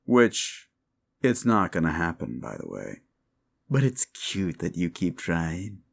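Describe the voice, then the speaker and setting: Guy doing a mocking soul-hungry demon for asmr roleplay, super gravelly and slow, talks down to you. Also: sits low, wildly swooping pitch, full character voice. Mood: mocking, exasperated. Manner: slow, taunting, theatrical, with drawn-out words. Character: sinister mocking male demon, condescending soul-hungry tempter. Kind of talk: roleplay comfort ASMR acting.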